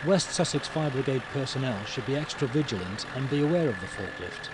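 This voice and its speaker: Male narrator, formal and measured, mid-pitched, for a 1990s instructional film with heavy engine noise. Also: lively intonation. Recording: noisy 1990s training video, engine sounds.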